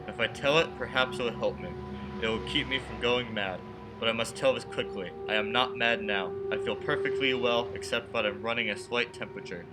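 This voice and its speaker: An actor playing a haunted man in his thirties from Brooklyn, narrating a radio play in earnest, deliberate phrases. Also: medium pitch, natural voice. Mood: tense, confessional. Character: haunted 36-year-old man from Brooklyn, anxious but insisting he is sane. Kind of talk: radio play acting, first-person dramatic narration. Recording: music behind the voice.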